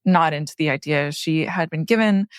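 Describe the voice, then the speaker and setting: A woman's voice: low-pitched, moderate pace, background noise. A woman who produces the podcast, casually retelling a story in a chatty way that is full of fillers. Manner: chatty, uses many fillers like "like".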